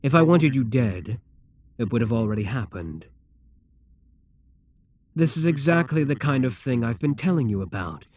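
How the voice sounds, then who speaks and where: A man's voice: medium pitch, animated, moderate pace, long pauses, a little mellow, no room sound. A man playing a proud, seductive demon king in an ASMR roleplay, speaking in an imperious, courtly and theatrical manner. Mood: indignant, haughty.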